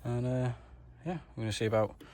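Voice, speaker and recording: A man's voice: medium pitch, brisk, darkish tone. A man giving a casual, matter-of-fact hands-on car tutorial, explaining things step by step in informal words. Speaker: DIY car mechanic vlogger.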